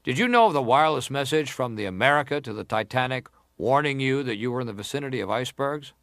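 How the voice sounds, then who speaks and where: A man acting a middle-aged senator in a dramatised hearing, putting probing, formal questions in a deliberate, pressing way. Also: medium pitch, animated, vocal fry, fairly bright tone, dry recording, clean studio sound. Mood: probing, insistent. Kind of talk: dramatised reading of hearing interrogation.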